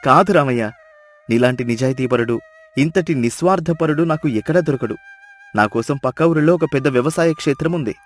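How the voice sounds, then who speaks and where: Man acting an earnest, commanding village gentleman, music behind. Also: fairly high pitch, fairly quick, close to an everyday voice. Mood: grateful, commanding. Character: well-off village brother-in-law, grateful, emotional, commanding. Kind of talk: Telugu moral-story cartoon dubbing.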